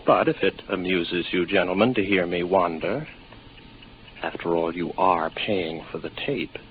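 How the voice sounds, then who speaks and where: A man's voice: sits low, a little slow. An actor playing a weary, lonely young spaceman, wry and rambling, in a 1950s radio drama. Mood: wry, musing. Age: 20s. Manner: wry, musing, sardonic. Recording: noisy muffled 1950s radio recording.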